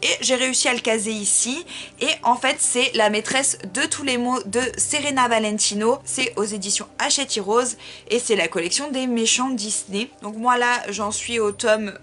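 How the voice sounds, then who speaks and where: A woman's voice: fairly high pitch, normal speed, a bit bright. A woman book vlogger talking casually and informally to her viewers, with chatty, self-deprecating asides. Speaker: book vlogger presenting a reading challenge.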